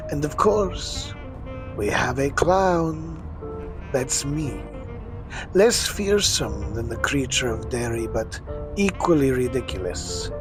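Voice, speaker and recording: A man's voice: mid-range voice, lightly acted. A man playing a worn-out old villain clown, narrating an audio drama with wry, weary self-mockery. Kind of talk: first-person noir-like audio drama narration.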